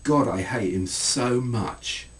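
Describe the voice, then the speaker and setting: A man's voice: medium pitch, slow pace, distant mic, slight background noise. A man voicing an indignant, scornful teenage girl in a book reading, emphatic, dismissive and colloquial. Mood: hurt, angry. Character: indignant, scornful teenage girl ranting about her boyfriend.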